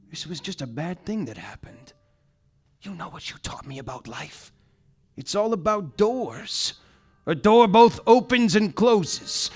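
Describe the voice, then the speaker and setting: A man's voice: high voice, heavily acted. A man playing an upbeat island sidekick. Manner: encouraging, laid-back. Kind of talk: live comedy radio play.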